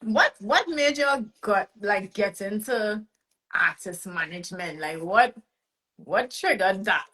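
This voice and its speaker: A woman hosting a casual interview podcast, animated and teasing, laughing often. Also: mid-range voice, slow. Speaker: podcast host.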